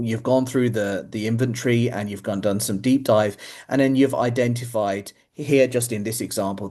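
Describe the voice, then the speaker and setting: A man's voice: on the low side, darkish tone. A man presenting in a webinar, explaining step by step in an informal way with imagined examples.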